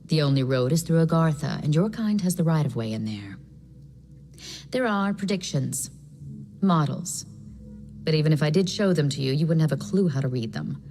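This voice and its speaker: A low, cryptic chaos leader. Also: female voice, lively intonation. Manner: soft, measured, slips into whispers. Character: cryptic, calm female chaos faction leader. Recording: reverberant space with clear game music.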